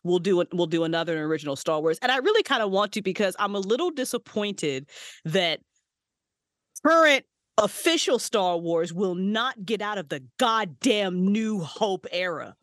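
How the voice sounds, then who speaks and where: A woman's voice: medium pitch, expressive, normal speed, fairly bright tone, no echo, clean studio sound. A woman guest on a casual podcast interview, ranting passionately and swearing freely. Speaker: podcast guest, writer and voice actress.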